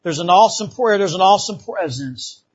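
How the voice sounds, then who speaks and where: A fervent man from the Bahamas preaches a revival sermon in church, his voice high and a little husky. He repeats himself and calls out amen often, and the recording sounds slightly dull. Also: lively intonation, fairly quick.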